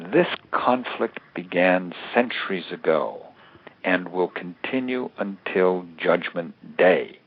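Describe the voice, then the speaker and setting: Low-voiced American man on a phone line. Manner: halting, deliberate, emphatic repetition of words. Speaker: interview guest, author and security analyst. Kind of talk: considered analytical interview answers. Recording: narrow tinny phone line.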